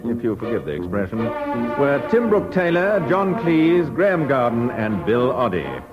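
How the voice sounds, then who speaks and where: A man's voice: mid-range voice, very animated, normal speed. A man reading scripted radio credits in a mock-formal style. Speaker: radio announcer. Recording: muffled old radio, music underneath. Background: theme music.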